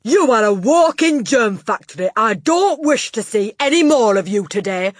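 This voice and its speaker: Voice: medium pitch, lively intonation, normal speed, dry recording, studio quality, voice pushed up, lightly acted. A storybook narrator voicing a gigantic, tyrannical headmistress who barks and sneers insults with contempt. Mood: scornful, bullying. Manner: barking, bellowing, sneering insults.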